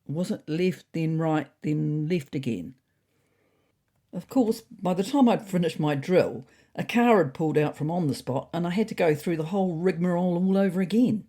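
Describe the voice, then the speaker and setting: A woman's voice: lowish voice, normal speed, dark tone. A woman voicing a fussy, anxious male cat who narrates his comic diary in a prim, wordy, faintly indignant way.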